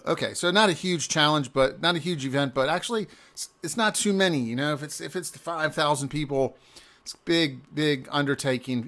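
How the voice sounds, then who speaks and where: A man, a native English speaker, casually walking through a screen-shared recap of a cycling event, often pausing and restarting mid-sentence. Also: medium pitch, a bit bright. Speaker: amateur cyclist reviewing an event.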